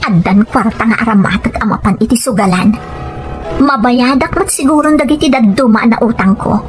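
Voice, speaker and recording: Woman playing scheming gambler wife, Filipino Ilocano accent, high-pitched, audio drama delivery, light music underneath. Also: normal speed, close to an everyday voice. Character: deceitful gambling wife and dutiful-sounding daughter. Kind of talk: scripted audio drama acting. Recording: faint music bed behind voice.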